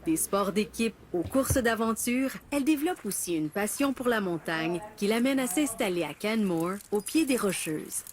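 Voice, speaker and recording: A woman narrates a documentary from a script, with a calm, measured delivery. Her voice sits at a middle pitch, and her reading is even and unhurried.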